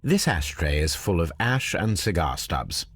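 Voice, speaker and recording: An actor playing a brilliant, aloof gentleman detective in a video game, with a British accent and a precise, measured, analytical delivery. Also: sits low, sing-song, a little hiss or hum, heavily acted. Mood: deducing. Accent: British English. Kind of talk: video game character acting.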